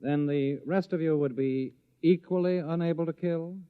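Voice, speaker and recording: An actor playing a cynical, unflappable military general, dry, condescending and cool-headed, in old radio drama style. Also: male voice, on the high side, normal speed, clean tone, lightly acted. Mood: dry, resigned. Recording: dull, noisy old radio recording.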